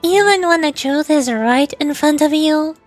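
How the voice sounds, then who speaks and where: A woman streamer doing a playful, expressive game read-along, acting out dialogue in a cartoonish manner. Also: sits high, unhurried, faint music behind. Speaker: VTuber streamer reading game dialogue aloud.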